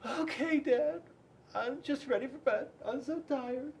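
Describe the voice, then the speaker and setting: A man's voice: extremely high pitch, measured pace, far from the mic, a little hiss or hum, voice pushed up. A man voicing a sheepish, sad young girl dinosaur for a children's storybook, speaking in a hesitant, pleading way. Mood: weary.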